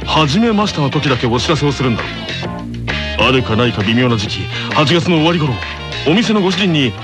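A man acts out an earnest teenage boy who captains a tennis club. He muses in a deadpan, self-conscious way, as in an anime radio monologue, in a slightly high voice over music. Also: quick pace. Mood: deadpan grumbling.

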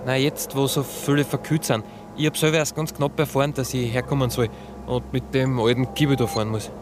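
Actor as uneasy, hesitant Austrian accomplice. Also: male voice, medium pitch, level tone, noisy background, lightly acted. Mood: awkward bluffing. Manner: halting, uneasy. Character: hesitant male accomplice bluffing his way through. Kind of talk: radio drama acting, colloquial.

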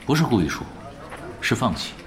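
A man's voice: medium pitch, sing-song, brisk, slight background noise, natural voice. A man playing a composed, astute gaming veteran in an audio drama, matter-of-fact and coolly analytical. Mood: calm, analytical. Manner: terse, matter-of-fact, explanatory.

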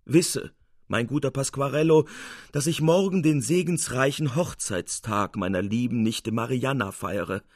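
A man voicing a lavish, genial old signor, a stage double, grandiose and deliberate in a radio storybook reading. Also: medium pitch, no room sound, studio quality, close to an everyday voice. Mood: joyful, grand.

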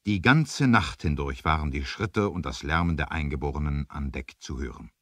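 Male narrator, low voice, lively intonation, suspenseful emphasis, vintage adventure radio play, dry close sound. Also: moderate pace, no room sound. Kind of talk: dramatic scripted storytelling for 1970s adventure radio play.

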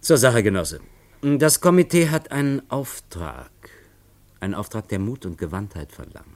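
A cold revolutionary leader, deep. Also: male voice, sing-song, a little mellow. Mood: commanding. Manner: measured, authoritative, cold. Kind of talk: radio play acting.

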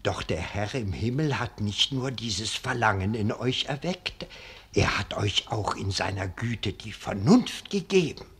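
Hundred-year-old abbot, slow, husky voice, grave and fatherly, vintage radio drama. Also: fairly low pitch, lively intonation, fairly bright tone, heavily acted. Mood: grave admonishing. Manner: slow, solemn, archaic clerical speech. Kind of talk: 1940s German radio play acting.

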